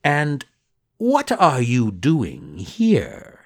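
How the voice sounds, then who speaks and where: A man's voice: medium pitch, huge pitch swings, drawn-out words, voice pushed up, exaggerated voice. A man voicing a sly, scheming male fox in a storybook reading, drawn out and theatrical.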